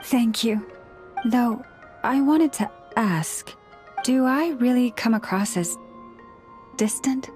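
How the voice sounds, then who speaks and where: A woman playing a serene priestess leader in a video game, speaking in a formal, measured, earnest way. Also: on the high side, a little mellow. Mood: shyly thoughtful. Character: tall antlered priestess leader, gentle, solemn, serene. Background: background music.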